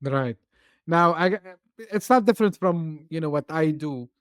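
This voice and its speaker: A man's voice: a little high, animated, normal speed, no room sound, clean studio sound. A man hosting a podcast interview. English is not his first language, and he talks loosely with many "you know" fillers. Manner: talkative, loose phrasing full of "you know". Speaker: podcast host. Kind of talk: casual interview chat.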